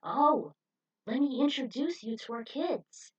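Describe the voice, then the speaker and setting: Woman voicing a proud, warm grown-up hero dad. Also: high-pitched, animated, measured pace, slightly rough, distant mic, dull audio, voice pushed up, full character voice. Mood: cheerful, proud.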